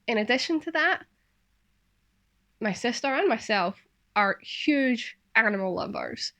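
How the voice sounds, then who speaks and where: A woman's voice: fairly high pitch, lively intonation, measured pace, a bit bright. Scottish woman hosting a craft vlog. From Scotland. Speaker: sewing vlog host. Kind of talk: casual craft vlog chat and narration.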